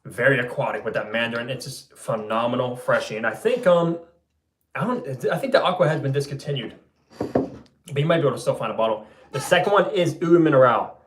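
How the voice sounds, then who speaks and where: A young man hosting a fragrance livestream and reading out viewer comments in an easygoing way, his voice going a little hoarse. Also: mid-range voice, expressive, brisk, roomy sound, background noise. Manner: laid-back, loose, says bro and man a lot. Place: room at home.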